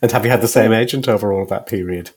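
A man's voice: lowish voice, racing, a little mellow, husky. A man interviewing a guest conversationally, feeling his way through questions with hesitant, circling phrasing.

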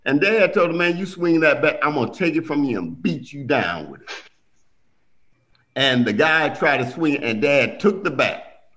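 A middle-aged man telling family stories in a casual conversation, animated and acting out quotes. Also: normal speed. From Milwaukee. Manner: animated storyteller, acts out quotes with big pitch jumps.

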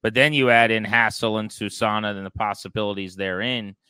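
A man's voice: fairly low pitch, steady tone, fairly quick, sharp tone, clean tone, dry recording. A man hosting a sports podcast, talking and giving opinions in a conversational style.

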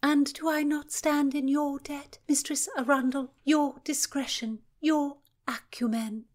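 A woman's voice: sits high, unhurried, pitched above the performer's own voice. A woman voicing a gracious, courtly noblewoman. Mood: admiring. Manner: courtly, flattering. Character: gracious high-born Tudor noblewoman, courtly.

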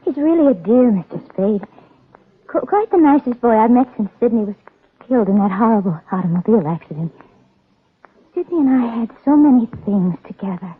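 A woman acting a fragile, lonely rich young woman who is dazed and unravelling, halting and stammering in 1940s radio style. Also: on the high side, animated, slightly rough. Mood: dazed, wistful. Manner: halting, stammering, repeating words. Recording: thin narrow-band old radio recording.

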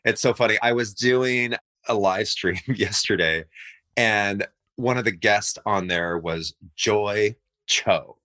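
A man's voice: fairly low pitch, takes it slowly. A man appearing as a guest on a podcast, chatting casually, telling stories and laughing often. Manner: animated, laughs often, storytelling. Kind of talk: casual chat.

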